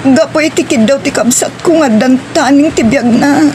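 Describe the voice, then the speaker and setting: A woman's voice: high voice, normal speed, lightly acted. A woman acting an anguished young woman pleading with her beloved in an audio drama, tearful, with a Filipino Ilocano accent. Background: prominent music.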